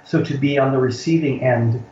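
A man's voice: medium pitch, fairly even intonation, fairly quick, mellow, a little hiss or hum. Middle-aged American man, reflective guest, restarts a lot while he thinks; echoey, slightly muffled sound. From United States. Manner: thoughtful, repeats words while searching for phrasing. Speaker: college instructor, interview guest. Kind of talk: reflective interview conversation. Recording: roomy, slightly dull recording.

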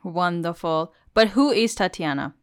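A woman's voice: lowish voice, unhurried. A woman hosting a podcast, chatty and enthusiastic, laughing often as she talks with her guest. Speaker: podcast host. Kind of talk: casual podcast interview.

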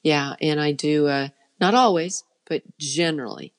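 Middle-aged woman with a soft voice and a low register, casual interview guest. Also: a little slow. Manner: chatty, trailing off and restarting. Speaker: children's musician guest.

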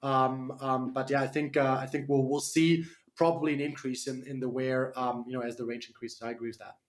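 A man's voice: mid-range voice, flat delivery, fairly quick. A man from Germany with a slight German accent, a startup CEO answering interview questions on radio, hesitant with many fillers and restarts.

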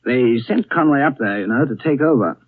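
A man playing a sober gentleman recounting a strange tale in measured, understated 1930s radio drama style. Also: mid-range voice, lively intonation, lightly acted. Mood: grave, reflective. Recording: muffled vintage 1930s radio recording.

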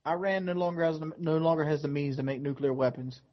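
A man's voice: on the high side, fast talker. A blunt, joking man in a casual podcast debate, heard through a muffled webcam mic set across the room.

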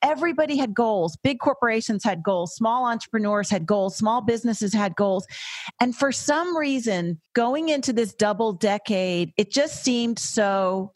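A woman's voice: a little high, lively intonation, normal speed, a little mellow, no echo. A woman hosting a podcast interview in an upbeat, effusive, chatty way. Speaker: podcast host. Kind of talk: casual interview chat.

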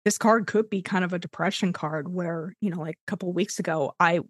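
A woman's voice: fairly low pitch, steady tone, brisk, no echo, clean studio sound. A woman in her forties chatting on a podcast in a rambling, reflective way, with lots of "you know.". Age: 40s. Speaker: podcast co-host and tarot deck designer. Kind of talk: casual chat.

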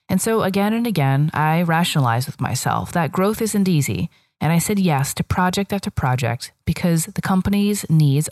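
Low-voiced woman hosting a reflective podcast. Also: a little mellow, no room sound, clean studio sound. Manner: reflective, storytelling. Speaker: podcast host. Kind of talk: scripted solo podcast monologue.

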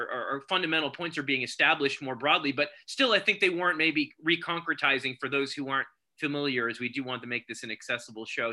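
A man's voice: on the high side, sharp tone. A man hosting a panel discussion, asking questions with hesitant restarts. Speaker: host.

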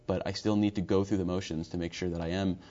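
A man working as a political reporter, giving on-air analysis in a thoughtful, analytical way. His voice is very low. The audio sounds a bit dull. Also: level tone, quick pace, dark tone. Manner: thoughtful, analytical, some restarts.

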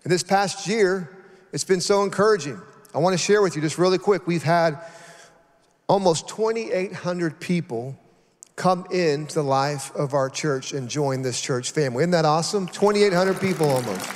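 Man, pastor, earnest, emphatic, slightly high voice, long hall reverb. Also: moderate pace. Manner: earnest, emphatic, list-like build-ups. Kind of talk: addressing the congregation.

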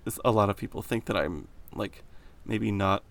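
Deep gravelly deadpan young man. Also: moderate pace. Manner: deadpan, sarcastic, monotone. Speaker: podcast co-host. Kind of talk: casual chat.

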